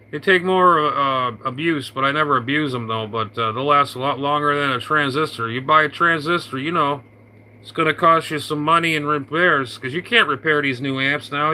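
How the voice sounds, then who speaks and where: A man's voice: a little high, normal speed, sharp tone, low-quality recording. A man in casual on-air radio chat, rambling with many fillers and restarts. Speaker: radio operator.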